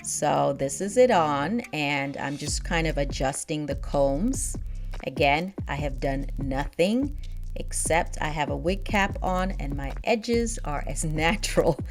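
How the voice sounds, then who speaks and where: A woman's voice: low voice, expressive, slow, faint music behind. A young woman who grew up in Nigeria and the United States, chatting upbeat and laughing often as she reviews a product for her vlog. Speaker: beauty vlogger.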